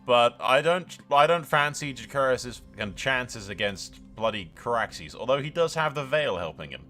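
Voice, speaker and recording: A man hosting a game playthrough, commentating in an excitable, sweary, bantering way. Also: medium pitch, moderate pace, soft background music. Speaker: host of a gaming channel. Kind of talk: playthrough commentary with in-character narration.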